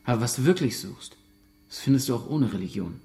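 An actor playing a defensive former soldier and military contractor in a radio drama, speaking in a curt, guarded way. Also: male voice, medium pitch, expressive, a little fast, warm tone, close to an everyday voice. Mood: guarded, firm.

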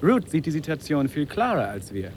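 An actor playing a wry, cautious trekking companion, teasing and sceptical, in a 1950s radio play. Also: male voice, fairly high pitch, huge pitch swings, moderate pace, darkish tone. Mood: wry, warning. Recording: noisy track with running water.

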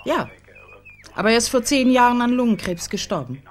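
An actress playing a composed, dryly teasing older widow in a radio crime drama, speaking without hurry. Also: female voice, a little high, very animated, a little fast. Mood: matter-of-fact. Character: composed, wry older widow of a private detective.

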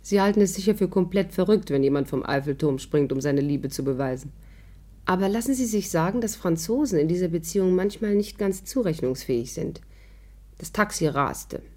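A composed woman recounts her adventure in a vintage radio play. Her voice is low, dark and somewhat husky. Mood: wry. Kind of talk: scripted first-person narration in 1950s radio play.

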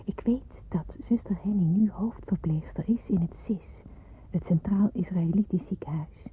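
An actress playing a grave young woman narrating a radio drama in the first person, hushed and measured. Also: medium pitch, a little hiss or hum, lightly acted. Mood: earnest, determined. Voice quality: very breathy, hushed. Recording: muffled phone-like old radio recording.

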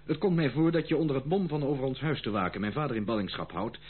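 A man's voice: mid-range voice, fast talker, breathy edge, lightly acted. An actor in a radio play playing a disowned prodigal son, formal, insistent and theatrical, pleading his case with indignation. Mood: pleading, indignant. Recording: tinny, phone-like old radio play, slight hum.

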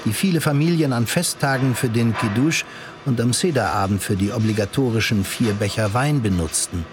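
A man reading scripted story narration for an audio drama in a measured, explanatory manner. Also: mid-range voice.

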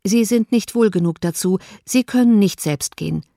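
A woman reading the lines of a grave gentleman, in a tender, solicitous manner. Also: mid-range voice, normal speed, gravelly, no echo, studio quality, lightly acted. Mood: concerned.

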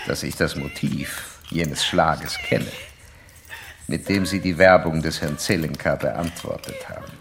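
An actor playing an insistent psychoanalyst who lectures a patient in formal, didactic, old-fashioned German radio drama style. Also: male voice, deep voice, noisy background, exaggerated voice. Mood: insistent, analytical. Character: male psychoanalyst doctor, insistent, certain, lecturing his young patient.

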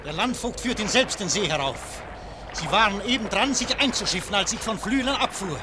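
A hurried messenger reports bad news in a hasty rush. His voice is very high and swings widely. Storm effects rumble behind him. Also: lively intonation. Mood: urgent.

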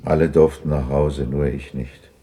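A man's voice: a bass voice, level tone, moderate pace, dark tone, slightly rough. A man acting a remorseful old miser moved by his memories in a 1960s German radio drama. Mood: sad, remorseful. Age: older.

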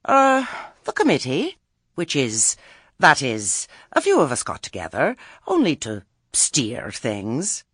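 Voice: mid-range voice, huge pitch swings, slow, a bit bright, husky, no echo, voice pushed up, heavily acted. A genteel, fussy lady witch from a storybook, put on by an audiobook narrator. She trills in a raised, swooping voice, over-polite and thoroughly condescending. The recording is dry. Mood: flustered.

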